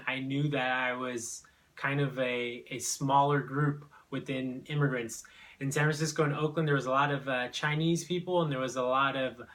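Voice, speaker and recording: A man's voice: mid-range voice, a bit bright. A young man from Brazil, telling his own immigration story in an unscripted, hesitant way full of fillers and restarts. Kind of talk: personal testimony, unscripted.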